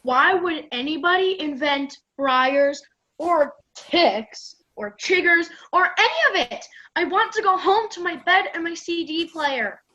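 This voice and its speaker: Woman playing a very high-voiced whiny teenage girl. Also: lively intonation, unhurried, bright, forceful tone, muffled recording, exaggerated voice. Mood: self-pitying. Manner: complaining, dramatic. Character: whiny, self-pitying teenage girl on a camping trip. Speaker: student radio play performer. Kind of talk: amateur radio play acting.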